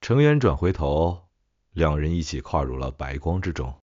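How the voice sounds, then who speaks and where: Male audiobook narrator for ghost stories: extremely deep voice, dull-sounding track. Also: big pitch swings, normal speed, a little mellow, dry recording. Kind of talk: scripted horror story narration.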